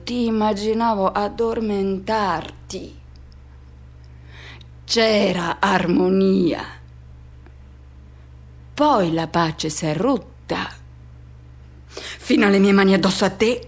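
A woman acting a radio theatre monologue as a yearning, tormented man recalling first love, in short, halting, insistent repeated phrases. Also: medium pitch, close to an everyday voice. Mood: tender, wistful. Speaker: actor.

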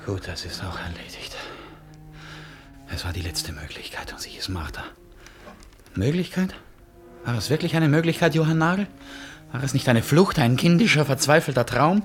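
A man plays a bitter, despairing man talking to himself in a radio play. His mid-pitched voice is theatrical and brooding, turning self-mockery on himself, with music clearly behind it. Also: expressive, normal speed, long pauses, natural voice. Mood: grim, hushed, then anguished self-questioning. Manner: theatrical, brooding, swinging from murmur to outburst. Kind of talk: German radio play acting, inner monologue.

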